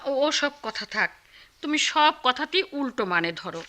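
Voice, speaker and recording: An outspoken middle-aged aunt in a radio drama. Her voice is high and very bright, swinging animatedly up and down. She is kindly yet fussing and exclamatory. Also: lively intonation, measured pace, full character voice. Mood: scolding. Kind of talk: Bengali radio play acting.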